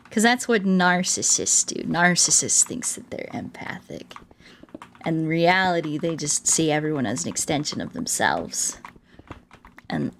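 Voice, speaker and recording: An American woman chatting casually as she streams a game, telling things in an animated way and repeating herself for emphasis, with music behind her. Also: deep voice, gravelly, a little hiss or hum. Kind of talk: casual chat while playing a game, reading viewer comments.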